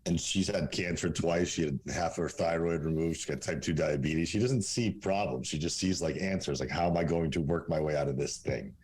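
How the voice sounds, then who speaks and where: A man's voice: extremely low pitch, brisk, few pauses, darkish tone, a little hiss or hum. A man in his forties from Russia, whose first language is Russian, speaking as a coach in a candid podcast interview, blunt and profane, rambling with fillers. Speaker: fitness coach and guest.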